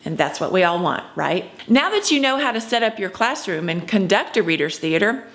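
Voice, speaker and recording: A woman's voice: mid-range voice, big pitch swings, fairly quick, fairly bright tone. A woman in her fifties presenting a scripted instructional video as a drama teacher, speaking emphatically to camera. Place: indoor room at home.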